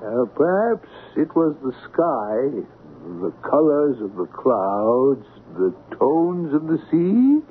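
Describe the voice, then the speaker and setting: An actor in a 1970s radio drama playing a mannered, pompous art appraiser who speaks in balanced phrases with a rising inflection. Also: male voice, fairly high pitch, very animated, slow pace. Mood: grandly earnest. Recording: muffled, hissy 1970s radio broadcast.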